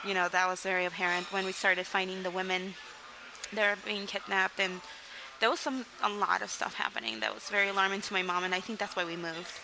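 Young woman from Mexico, interview guest, open and rambling, steady mid-range pitch, noisy recording. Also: a little fast. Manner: candid, rambling, frequent "like" and "um", laughs between sentences. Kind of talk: casual interview storytelling.

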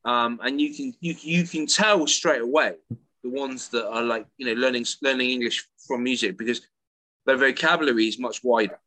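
Bright, excitable man telling classroom stories. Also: medium pitch, moderate pace, dry recording, low-quality recording. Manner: animated storyteller, repeats words when starting sentences. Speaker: podcast co-host and English teacher. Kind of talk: casual chat. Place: works in Italy.

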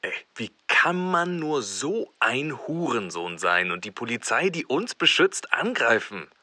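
An actor playing a hateful, aggressive internet troll in an audio drama, contemptuous and menacing. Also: male voice, medium pitch, big pitch swings, a little slow, sharp tone, exaggerated voice. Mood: angry, contemptuous.

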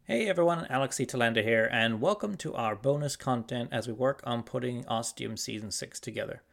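A man's voice: medium pitch, fairly quick, gravelly. A man hosting a podcast, reading a scripted introduction to bonus content. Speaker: podcast host and creator.

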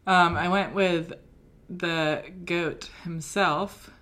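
Slow, chatty British woman storyteller. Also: on the low side, steady tone, fairly bright tone. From Britain. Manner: chatty storyteller, many ums and likes. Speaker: podcast co-host. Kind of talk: casual chat.

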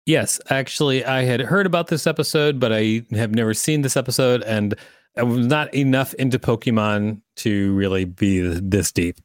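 A man's voice: medium pitch, normal speed, no echo, clean studio sound. Man co-hosting a reading podcast. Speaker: podcast co-host. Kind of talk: reading aloud with casual commentary.

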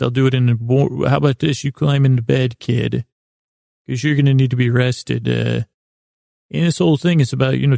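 Male podcast host for rambling bedtime monologues: heavy vocal fry, relaxed tone, halting, soothing delivery. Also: mid-range voice, dry recording, studio quality.

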